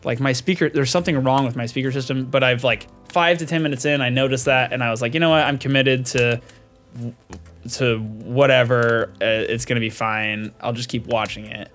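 A man's voice: mid-range voice, fairly even intonation, a little fast, fairly bright tone, faint music behind. Casual male podcaster, rambling storyteller. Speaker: podcast host. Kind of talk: casual film discussion chat.

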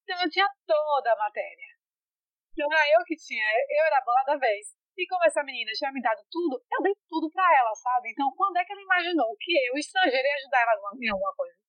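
A chatty woman, telling her story in a relaxed interview. Her voice sits fairly high, and she keeps it lively with fillers and restarts. The recording is dry, with almost no room sound. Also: rapid-fire, no room sound, highs cut off. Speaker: interviewee, foreign master's student in France. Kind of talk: casual conversational interview.

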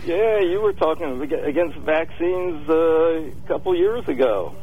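A man's voice: on the high side, expressive, unhurried. A man calling in to a radio show by telephone, chatting casually. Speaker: phone-in caller. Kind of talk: casual chat. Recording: tinny, noisy phone line.

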